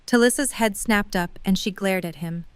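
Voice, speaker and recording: A woman narrating a dramatic story from a script. Her voice is smooth and crisp at a middle pitch, recorded close and dry. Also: normal speed, dry recording.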